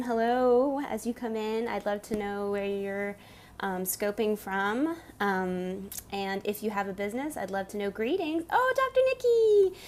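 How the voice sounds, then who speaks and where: A woman's voice: mid-range voice, slight background noise. A woman business coach and studio owner chatting casually with live viewers from a handheld camera, upbeat and full of fillers and restarts.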